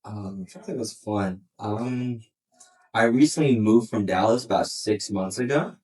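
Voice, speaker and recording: A man's voice: fairly low pitch, measured pace, a little mellow. A young man guesting on a casual podcast, speaking hesitantly with lots of ums. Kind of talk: casual chat. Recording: roomy and distant from the mic.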